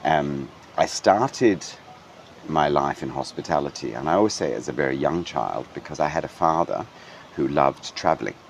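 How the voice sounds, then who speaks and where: A middle-aged man from Denmark with a very low, deep voice talks through a relaxed interview. He is thoughtful and unhurried, full of ums and restarts, with faint background hiss. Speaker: hotel general manager. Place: hotel grounds.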